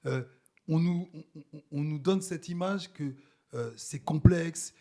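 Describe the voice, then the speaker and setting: A man's voice: a little high, measured pace, mellow. A man hosting a podcast in casual interview chat, informal and a little hesitant, dropping in English slang. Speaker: podcast host.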